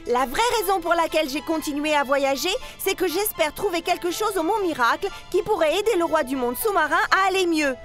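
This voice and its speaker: A woman's voice: very high-pitched, animated, brisk, hardly any pauses, fairly bright tone, faint music behind. A woman voicing a brave, loyal young turtle boy with an earnest, emphatic delivery in a French children's cartoon. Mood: gentle, determined.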